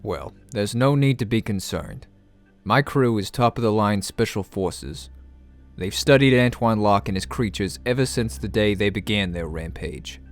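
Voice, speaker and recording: A cartoon special-forces captain, acted by a man, with a fairly low voice. He talks plainly and with total self-assurance, reassuring yet a little dismissive. Music plays softly underneath. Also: expressive, moderate pace. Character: confident male military captain, reassuring but dismissive. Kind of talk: animated film voice acting.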